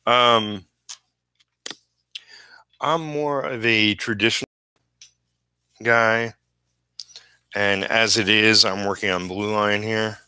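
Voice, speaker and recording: Slow-talking man, bright forceful voice, halting. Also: on the low side, fairly even intonation, clean tone, no echo. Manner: halting, trailing off mid-thought. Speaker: comic book inker live streaming. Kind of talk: casual rambling livestream chat while drawing.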